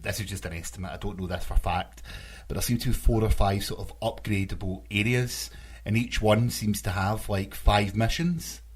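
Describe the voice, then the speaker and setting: A man's voice: fairly low pitch, fairly even intonation, moderate pace, husky. A man co-hosting a podcast, talking in a casual, conversational style. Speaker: podcast co-host. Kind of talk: casual chat about games.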